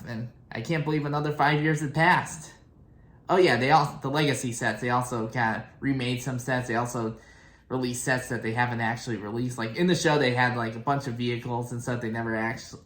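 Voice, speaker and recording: A man's voice: medium pitch, fairly bright tone. Young man rambling casually in an echoey room. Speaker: reaction video host. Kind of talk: casual rambling fan commentary.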